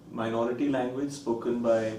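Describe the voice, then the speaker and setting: Soft-toned man, roomy distant sound. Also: mid-range voice, fairly even intonation, a little slow. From India. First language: Konkani. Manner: thoughtful, hesitant with fillers. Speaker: film director guest. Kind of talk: interview conversation. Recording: roomy, distant, faint noise.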